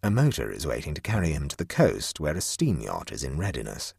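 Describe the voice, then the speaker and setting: A man voicing a calm, nonchalant young male prankster who talks in a dry, matter-of-fact way. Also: very deep voice, brisk, gravelly, breathy edge, no echo, voice pushed down, heavily acted. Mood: cool, nonchalant.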